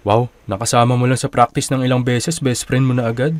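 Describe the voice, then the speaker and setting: A man's voice: medium pitch, flat delivery, a little fast, natural voice. A man playing a young student officer in a radio drama, casual, bantering and slightly hesitant, with a Filipino accent. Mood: teasing. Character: young male student officer, nervous, teasing, good-natured.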